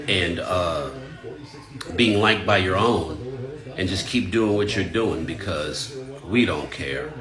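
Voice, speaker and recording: A man talking sarcastically and dismissively in a response video. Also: low voice. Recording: echoey room with loud music.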